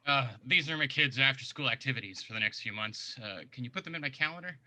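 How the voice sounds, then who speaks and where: A man plays a self-absorbed office boss in a drama table read. His voice is very sharp and he talks fast, tossing out requests offhandedly. The sound is dry, with no room to it. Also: medium pitch, no echo, rough recording. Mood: oblivious, entitled.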